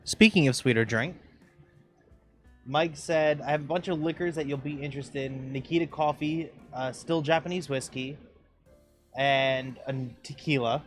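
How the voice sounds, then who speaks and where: A man's voice: a little high, moderate pace, soft background music. A man co-hosting a podcast, reading out viewer comments in easy, brief chat. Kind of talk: casual chat.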